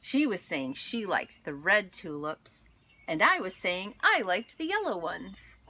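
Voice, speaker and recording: Female teacher for children's read-aloud: animated, slow-paced storyteller, deliberate phrasing, slightly noisy recording. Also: medium pitch. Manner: expressive, deliberate storytelling with dramatic pauses. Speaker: teacher reading aloud to students.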